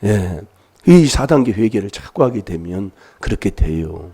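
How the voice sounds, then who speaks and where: A man's voice: fairly low pitch, big pitch swings, a little slow, warm tone, husky. A man preaching an emphatic sermon in a church, prodding his listeners with rhetorical questions while he has a cold. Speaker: pastor.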